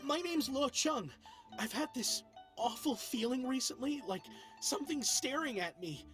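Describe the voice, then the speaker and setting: Nervous young guy in a game, high voice, faint music behind. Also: lively intonation, unhurried, fairly bright tone. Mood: anxious. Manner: hesitant, anxious. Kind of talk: video game character acting.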